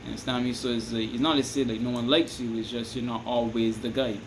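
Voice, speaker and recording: A man's voice: medium pitch, level tone, quick pace, background noise. A Barbadian man rambling through casual advice to his viewers, restarting sentences and asking "you understand me?" again and again. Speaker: vlogger giving advice. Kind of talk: casual advice monologue to camera.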